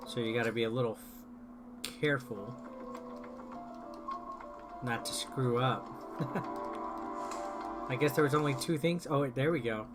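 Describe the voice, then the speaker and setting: A man's voice: mid-range voice, unhurried, long pauses. A man doing casual, playful gameplay commentary as a streamer, sometimes reading on-screen text aloud. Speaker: video game streamer. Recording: game music behind the voice. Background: game music.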